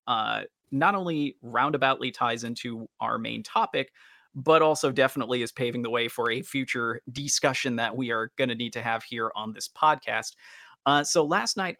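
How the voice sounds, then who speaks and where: A man's voice: medium pitch, vocal fry, bright, forceful tone, no echo, clean studio sound. A man hosting a casual podcast, talking in wordy, roundabout and slightly formal phrases. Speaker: podcast host.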